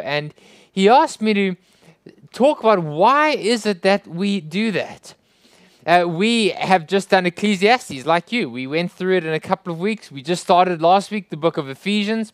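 A man's voice: sits high, animated, normal speed, no echo. A man with a South African accent, preaching a sermon as a guest pastor, praying and then teaching with friendly joking asides. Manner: friendly, joking asides, rising emphasis on rhetorical questions. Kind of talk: sermon with opening prayer.

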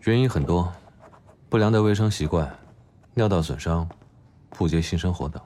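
A man's voice: low voice, moderate pace, dark tone, a little hiss or hum, natural voice. A man acting in an audio drama as a cool, blunt doctor who speaks in a curt, stern, matter-of-fact way. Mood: cool, clinical. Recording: music behind.

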